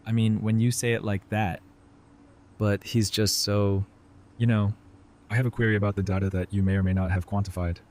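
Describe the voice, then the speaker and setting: A man's voice: lowish voice, little pitch movement, fairly quick, darkish tone, close to an everyday voice. A man playing an easygoing, flirty doctor who banters casually in an audio drama. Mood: incredulous, joking. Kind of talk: fan audio drama dialogue.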